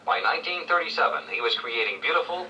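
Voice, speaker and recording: A man reading scripted 1970s educational narration with measured, clear storytelling phrasing. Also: fairly high pitch, lively intonation, pushed and bright, far from the mic, highs cut off. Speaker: read-along narrator. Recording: old read-along played back from afar, faint noise.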